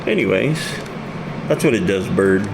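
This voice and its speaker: A man's voice: on the low side, a little slow, gravelly. A man giving an informal, unscripted hands-on explanation of his hobby project in a blunt, colloquial, plain-spoken way. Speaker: hobbyist presenter demonstrating his amplifier project. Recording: loud steady background hum.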